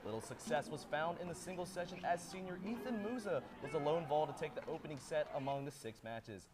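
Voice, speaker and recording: A young man reading a sports report as a student anchor, with a steady, even delivery. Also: a little high, a little fast, a crowd in the background. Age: young adult. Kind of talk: read news script.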